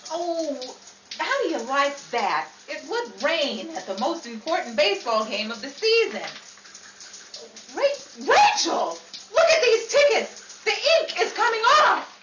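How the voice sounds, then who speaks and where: A woman playing an indignant, excitable actress and fast-talking schemer in a theatrical 1940s-style radio comedy. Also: high voice. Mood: indignant, alarmed. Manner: theatrical, exclaiming. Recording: noisy distant echoey recording, loud crowd ambience.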